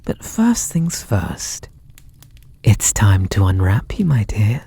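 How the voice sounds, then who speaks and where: An actor with a British accent playing a possessive, lovestruck kidnapper in an ASMR roleplay, sweet and doting in a theatrical, intimate way. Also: male voice, lowish voice, huge pitch swings, slow, gravelly, dark tone, slightly rough, heavily acted. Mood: adoring, intimate. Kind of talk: ASMR roleplay audio drama.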